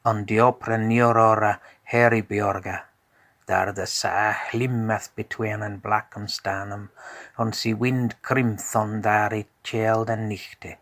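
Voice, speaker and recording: A man narrating a scripted tale as a storyteller in a measured, deliberate way. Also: on the low side, little pitch movement, a bit bright, dry recording.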